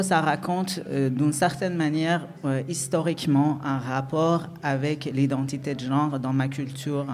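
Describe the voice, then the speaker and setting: Slow, thoughtful man with a Persian accent. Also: medium pitch, a little mellow. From Iran. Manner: searching, reflective, many hesitations. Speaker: guest, dance artist. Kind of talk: radio interview answer.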